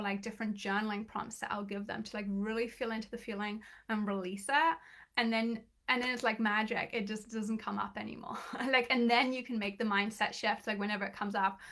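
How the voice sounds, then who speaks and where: Woman doing a live coaching session, bright kinda high voice, a bit croaky, lots of um and like. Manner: chatty, encouraging, many fillers and restarts. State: slightly croaky voice. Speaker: business coach. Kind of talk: live online training.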